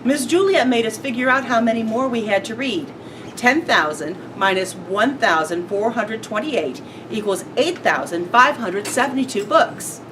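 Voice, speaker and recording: A woman's voice: animated, moderate pace. A woman reading a children's story aloud as a member of school staff. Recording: noisy, rumbly, distant microphone.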